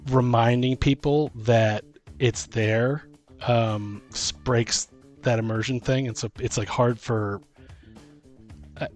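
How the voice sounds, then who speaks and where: Rambling, hesitant man over soft guitar music. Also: medium pitch, fairly even intonation, slow pace. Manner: rambling, hesitant, thinking aloud. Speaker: podcast host and game master. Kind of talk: casual chat about tabletop role-playing.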